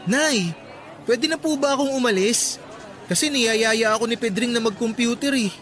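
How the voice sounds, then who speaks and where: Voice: mid-range voice, normal speed, fairly bright tone, some chatter behind, close to an everyday voice. An actor playing a resentful, whining young son who talks back to his mother in a Tagalog radio drama. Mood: reluctant, coaxing. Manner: complaining, talks back, sulky.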